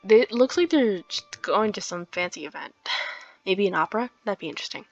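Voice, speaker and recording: A woman with a mid-pitched voice hosts a let's play, chatty and informal. Her mic sounds dull and lo-fi, and game music plays clearly behind her. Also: animated, a little fast. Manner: giggly, chatty, informal asides. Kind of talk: casual game commentary with some read-aloud narration.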